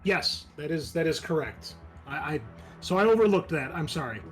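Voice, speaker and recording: A man's voice: a little high, lively intonation, normal speed, soft background music. Male game master explaining the game. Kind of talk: narrating and explaining a tabletop game.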